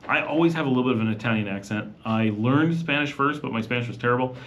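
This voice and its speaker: A man's voice: medium pitch, fast talker, a bit bright, dull audio. A man hosting a casual evening livestream from home, chatting loosely and reading viewers' comments aloud. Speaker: livestream host. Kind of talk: casual live Q&A chat.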